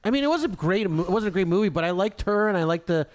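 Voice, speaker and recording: High-pitched, animated man chatting. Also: quick pace. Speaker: podcast co-host. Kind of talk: casual chat about films and celebrities.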